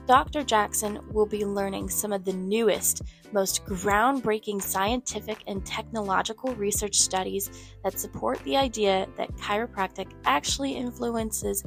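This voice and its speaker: A woman reading a scripted podcast introduction in an upbeat, announcer-like way. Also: medium pitch. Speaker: show announcer.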